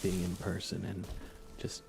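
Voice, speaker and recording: A man hosting an ASMR gaming livestream, speaking in a breathy, hushed, reassuring whisper with some vocal fry. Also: low-pitched, completely flat delivery, measured pace, very noisy. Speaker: livestream host.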